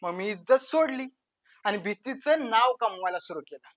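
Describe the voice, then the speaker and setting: A woman playing a brazen, menacing village gangster in a dramatic monologue, with a rural western Maharashtra accent and a taunting, swaggering manner that swings between hushed and loud. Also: a little high, expressive, moderate pace, lightly acted. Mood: menacing, boastful. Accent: rural western Maharashtra Marathi.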